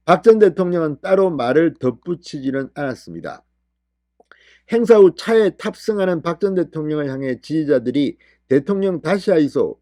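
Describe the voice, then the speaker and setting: A man delivers news commentary as a show host, his voice a little high yet soft-edged and mellow. He reads reports with emphatic phrasing and short lead-ins. The sound is dry and close. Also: dry recording.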